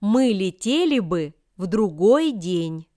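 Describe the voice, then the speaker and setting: A woman reading example sentences for language learners with careful, deliberate enunciation. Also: medium pitch, very animated, dark tone, no echo, studio quality. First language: Russian. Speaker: language lesson reader.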